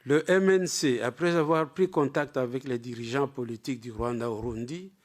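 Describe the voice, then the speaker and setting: A male lecturer with a medium-pitched voice presents a prepared academic text. His delivery is formal and structured. He puts firm emphasis on the words that open his sentences. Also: moderate pace.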